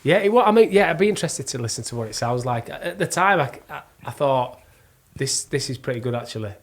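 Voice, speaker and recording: Male actor guest, Northern English accent, medium pitch, brisk informal chat. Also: animated. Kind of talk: casual chat.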